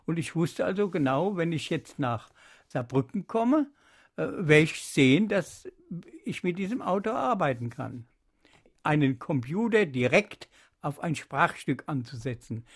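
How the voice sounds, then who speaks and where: A man reminiscing spontaneously in an interview, emphatically drawing out key words. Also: a little high. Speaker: interviewee recalling radio productions.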